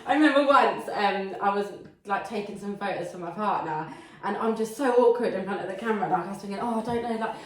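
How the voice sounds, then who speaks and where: A woman's voice: medium pitch, quick pace, hardly any pauses. An Englishwoman co-hosting a podcast, gushing and giggly, swearing casually. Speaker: podcast co-host. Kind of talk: casual chat. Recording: very echoey room, distant mic.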